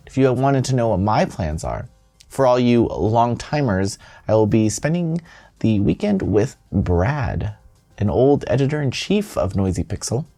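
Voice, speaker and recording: A man presents gaming news in a mid-pitched, very animated voice. He moves between scripted headlines and loose, self-deprecating jokes. Music plays underneath his voice. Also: measured pace. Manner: playful, self-deprecating asides. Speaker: host of a gaming news show. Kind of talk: scripted news read with casual, joking asides.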